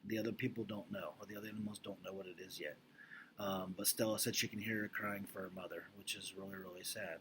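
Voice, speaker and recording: A man's voice: low voice, steady tone, fast, noisy background. A man speaking as a teacher, casually recapping and reading a storybook aloud in an informal, explanatory way, checking in with listeners.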